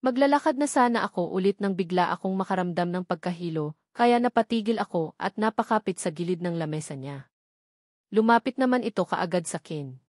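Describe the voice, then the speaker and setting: A woman's voice: on the low side, animated, brisk, a little mellow, no room sound, clean studio sound. A woman reading a first-person novel as scripted, dramatic story narration.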